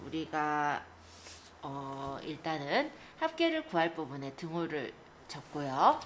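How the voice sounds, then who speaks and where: A woman teacher giving a step-by-step software tutorial, explaining politely to learners. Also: lowish voice, slight background noise.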